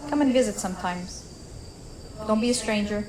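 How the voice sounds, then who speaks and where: A woman's voice: mid-range voice, moderate pace, a little mellow, lightly acted. Woman playing a composed, caring occult shopkeeper woman. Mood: reassuring. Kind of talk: tabletop role-play acting. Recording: faint noise with cricket ambience.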